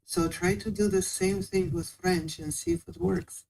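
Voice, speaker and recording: Slow, patient speaker explaining. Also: mid-range voice, sing-song, vocal fry, darkish tone, low-quality recording. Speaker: guest giving language-learning advice. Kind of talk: casual interview conversation.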